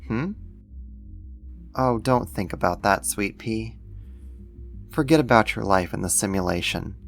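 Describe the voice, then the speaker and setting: A man playing a doting alien creator who soothes a human pet, affectionate and gently possessive, in an ASMR roleplay. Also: fairly low pitch, lively intonation, a little slow, creaky, faint music behind. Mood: serene, possessive.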